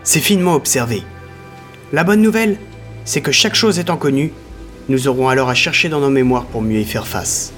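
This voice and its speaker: A man's voice: medium pitch, expressive, brisk, fairly bright tone, faint music behind, voice pushed up, natural voice. A man voicing a stern, authoritative male lieutenant who gives firm, clipped orders. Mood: measured.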